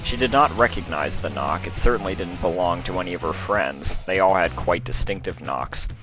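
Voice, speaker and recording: A man's voice: sits low, normal speed, music in the background, rough recording. A man reading a story aloud as its narrator, in scripted storytelling delivery. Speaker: fan fiction reader, narrator.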